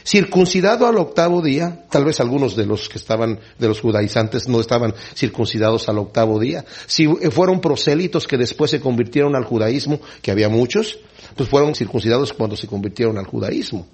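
A man's voice: expressive, a little fast, a little mellow, husky. A man preaching a sermon, explaining scripture and briefly acting out the people he quotes. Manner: explanatory, acts out quoted speakers with pitch swings.

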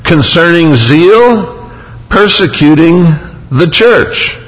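A man's voice: a little high, lively intonation, takes it slowly, a bit bright. A man preaching a sermon, emphatic, retelling scripture in a vivid first person. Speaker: pastor. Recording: long hall reverb.

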